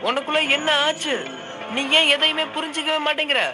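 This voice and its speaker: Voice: sits high, flat delivery, fairly quick, lightly acted. An actor voicing an earnest, pleading young boy, a loyal little brother in a Tamil cartoon dub. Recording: music behind the voice.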